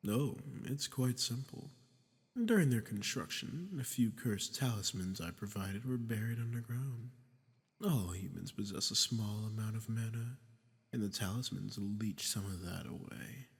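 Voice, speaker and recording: A man playing a sadistic, ancient archdemon in an audio drama, smug and mock-polite, with a gravelly voice that often drops to a breathy near-whisper. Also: fairly low pitch, unhurried. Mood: casual, condescending. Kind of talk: scripted roleplay audio drama monologue. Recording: long cavernous reverb.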